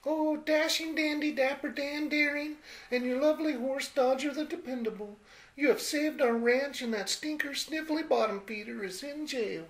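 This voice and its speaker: A woman's voice: high voice, moderate pace, rough recording. A woman playing a dainty, lovestruck damsel in a comedy western radio play, melodramatic and sweetly mannered. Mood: adoring.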